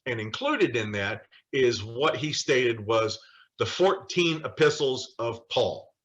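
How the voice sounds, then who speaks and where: A man's voice: medium pitch, sing-song, takes it slowly, fairly bright tone, lo-fi sound. A man leading a Bible study as an informal lecture from notes, speaking emphatically with wry asides. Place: online video call study session.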